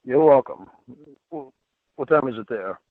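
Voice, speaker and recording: A man's voice: medium pitch, expressive, moderate pace, no room sound. A man reading a chat exchange aloud and adding his own asides, heard through narrow, tinny playback.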